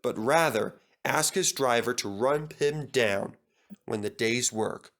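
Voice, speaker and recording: A man's voice: medium pitch, slow, a bit bright. A man narrating a scripted children's story as a storybook reader.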